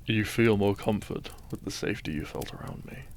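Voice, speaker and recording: Casting: male actor for a gentle farm-raised doctor in an audio romance; soft-spoken, measured, low with some fry. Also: a little hiss or hum, natural voice. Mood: tender, reassuring. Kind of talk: romantic audio roleplay addressed to the listener.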